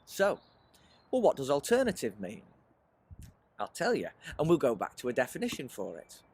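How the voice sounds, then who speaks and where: A man presents a lesson for children with a lively, swooping delivery. His voice has a husky edge. He is encouraging and often poses questions to the listener as he explains. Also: medium pitch, normal speed. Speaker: writer leading a children's creative writing workshop. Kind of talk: presentational teaching aimed at children, with rhetorical questions.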